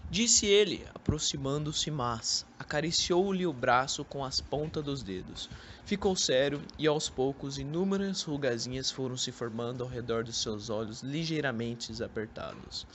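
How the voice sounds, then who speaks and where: A man's voice: mid-range voice, a little slow, a bit bright. Narrator: young Brazilian male, mid-range voice, scripted storytelling, faint background noise. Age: young adult. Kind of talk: scripted literary narration for radio drama. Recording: amateur recording with background noise.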